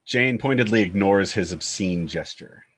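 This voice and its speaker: A man's voice: low voice, normal speed, slightly rough. A man reading stage directions as the narrator of a screenplay table read.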